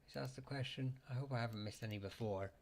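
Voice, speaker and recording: A man hosting a live chat, talking haltingly with many fillers and restarts, his voice getting hoarse as he keeps clearing his throat. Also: medium pitch. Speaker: livestream host answering surname questions. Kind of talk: casual live-chat talk.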